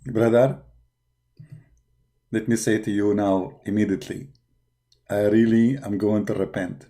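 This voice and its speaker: Mellow-voiced sarcastic male host. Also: lowish voice, steady tone, unhurried. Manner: mocking and sarcastic, talks to viewers. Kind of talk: casual reaction commentary on a livestream.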